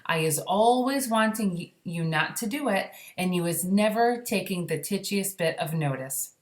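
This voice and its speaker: A man's voice: high voice, animated, moderate pace. A woman voicing a kindly, earnest male storybook giant who speaks emphatically in jumbled, ungrammatical phrases. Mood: firm, emphatic. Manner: mangled grammar, emphatic. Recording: roomy echo.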